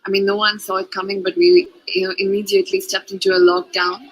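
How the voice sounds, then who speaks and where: A woman, a pageant contestant, telling her story fluently and calmly in an interview. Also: mid-range voice, quick pace, poor audio. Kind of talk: interview answers.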